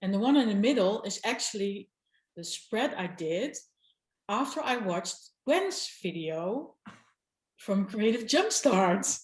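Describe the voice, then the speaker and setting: A woman's voice: mid-range voice, very animated, slow pace, roomy sound. A woman from the Netherlands, a guest artist, explaining her work on a video call.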